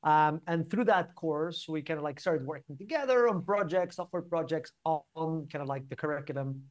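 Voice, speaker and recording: A man's voice: fairly high pitch, lively intonation, moderate pace. A young man with a Spanish accent, chatting informally and discursively as a podcast guest, often checking in with "right?". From Barcelona, Spain. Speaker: podcast guest, startup co-founder. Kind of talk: casual interview conversation.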